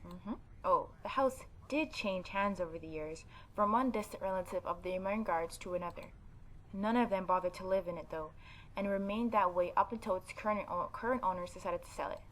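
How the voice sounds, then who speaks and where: A woman's voice: mid-range voice, fairly quick, slight background noise, low-quality recording. A woman hosting a gaming video, reading a visual novel aloud and adding informal, opinionated commentary. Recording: background game music behind voice.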